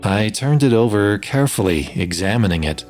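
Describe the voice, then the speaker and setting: Music plays behind a man acting as a spaceship pilot with a low voice. He narrates his own science fiction adventure in a measured, dry way, like an audio play. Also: expressive, natural voice. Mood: measured, curious. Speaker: narrator and lead actor. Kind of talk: first-person sci-fi audio story narration.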